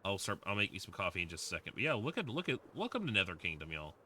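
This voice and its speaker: Chatty, animated young man streamer. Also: sits low, very fast. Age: 20s. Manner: rambling, with many fillers and restarts. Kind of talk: casual livestream chat while playing a game.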